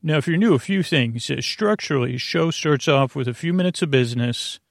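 A man with a creaky voice hosting a sleep podcast, delivering a lulling, halting bedtime monologue that meanders through digressions. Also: a little high, animated, dry recording, clean studio sound. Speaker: podcast host.

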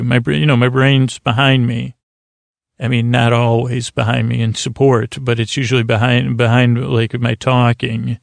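A man hosting a podcast, with a creaky, slightly smoky voice, rambling through a soothing bedtime monologue in a meandering, halting, self-deprecating way. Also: medium pitch, no room sound, studio quality. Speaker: podcast host.

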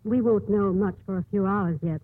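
An actress playing an earnest, devout nun in a 1950s radio drama, with a husky voice. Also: female voice, mid-range voice, normal speed, lightly acted. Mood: earnest conviction. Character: earnest, devout young nun, stubbornly convinced and pleading. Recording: muffled old 1950s radio broadcast.

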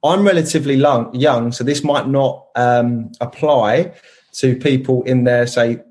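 A man's voice: mid-range voice, unhurried, darkish tone. A man in his thirties, a podcast guest explaining his plans in casual chat, eager and full of restarts. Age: 30s. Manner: enthusiastic, many restarts and fillers.

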